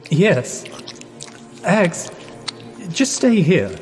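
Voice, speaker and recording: Posh polite bumbling young english chap, high voice, hesitant, radio play w long echo and music. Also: male voice, wildly swooping pitch, drawn-out words, exaggerated voice. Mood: uneasy. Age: young adult. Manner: hesitant, genteel, flustered. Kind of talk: audio drama acting. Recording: long hall reverb, music behind.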